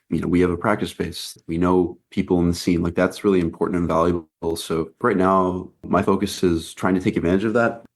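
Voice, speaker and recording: Very deep-voiced rambling young man. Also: a little mellow. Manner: rambling, thinking aloud, many fillers. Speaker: band member, guest. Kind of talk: casual interview talk.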